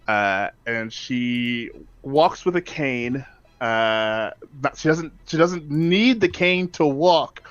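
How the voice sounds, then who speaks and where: A man's voice: mid-range voice, lively intonation, slow, fairly bright tone, clear, resonant tone, no echo, highs cut off. A man playing a tabletop game, describing a character in a casual, emphatic way with many fillers. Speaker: player.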